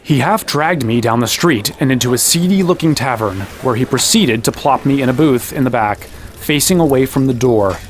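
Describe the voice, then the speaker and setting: A man's voice: mid-range voice, animated, moderate pace, slight background noise, natural voice. A young actor playing an earnest fantasy hero, telling his adventure in conversational first person in an audio drama. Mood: matter-of-fact storytelling. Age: young adult.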